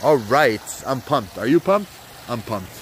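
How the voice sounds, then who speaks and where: A man's voice: medium pitch, very animated, a little slow, noisy background. A congested man with a sore throat, casually rambling through his weekly football picks with lots of fillers, talking from an outdoor hot tub. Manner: rambling, many fillers, hyped asides. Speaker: sports betting vlogger. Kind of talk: casual NFL picks rundown.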